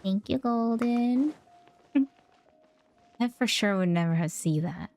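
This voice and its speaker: A woman's voice: fairly high pitch, lively intonation, takes it slowly, a little mellow, slight background noise. A woman giving casual, reactive game commentary in short murmured phrases to herself, at times reading text aloud. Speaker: game streamer.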